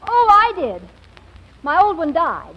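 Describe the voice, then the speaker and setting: An actress playing a brisk, sharp-witted wife and secretary in an old radio comedy, with short pointed retorts. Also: female voice, extremely high pitch, sing-song, heavily acted. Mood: pert. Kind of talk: 1940s radio comedy acting. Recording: dull, lo-fi old radio recording.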